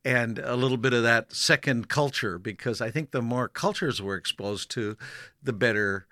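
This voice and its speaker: A middle-aged man who spoke German first and grew up in Canada, hosting an interview, talking haltingly with many restarts and fillers. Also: mid-range voice, sharp tone, no room sound, clean studio sound. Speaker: host. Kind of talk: conversational interview.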